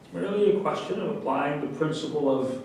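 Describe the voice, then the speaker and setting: A man playing a self-assured scientific commander in a staged satirical reading, explaining things in a deliberate, pseudo-scholarly way. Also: fairly high pitch, animated, gravelly, a little mellow. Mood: pedantic, confident. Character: pedantic, self-assured male scientific commander spouting nonsense. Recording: echoey room, distant mic, faint noise.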